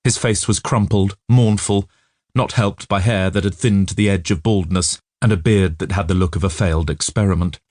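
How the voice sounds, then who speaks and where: A man reading literary fiction as an audiobook narrator, in a measured storytelling manner. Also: sits low, fairly even intonation, a little mellow, dry recording, studio quality. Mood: measured, grave.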